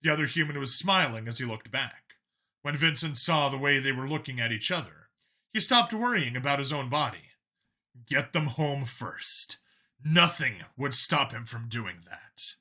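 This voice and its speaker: Casting: male audiobook narrator, very bright forceful voice, animated pitch, dramatic storytelling. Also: moderate pace.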